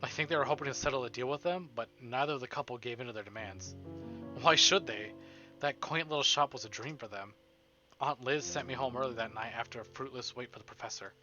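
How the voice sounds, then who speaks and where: A man reads a visual novel aloud to a stream in a very bright voice at a middling pitch. He treats the prose as dramatic narration. The audio is a little dull and band-limited, with soft music underneath. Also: fast talker. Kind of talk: dramatic read-aloud narration with casual asides. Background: soft game music.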